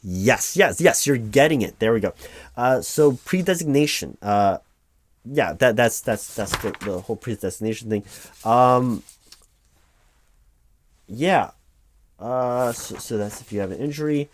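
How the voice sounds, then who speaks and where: A man doing a spoken ASMR office roleplay as a claims administrator, reading forms aloud and explaining them in a halting, stammering, reassuringly repetitive way. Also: medium pitch, lively intonation.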